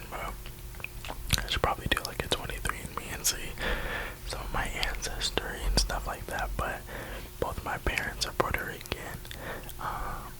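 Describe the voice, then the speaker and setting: Young man, early 20s, from the US East Coast, soft rambling whisper, close-miked for ASMR. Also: rough recording. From New York and Florida, USA. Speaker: ASMR creator.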